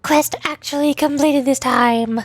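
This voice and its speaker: A woman's voice: sits high, steady tone, measured pace, no room sound. A woman streamer voicing game dialogue live and adding casual commentary. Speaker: streamer and amateur voice actor. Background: faint game music.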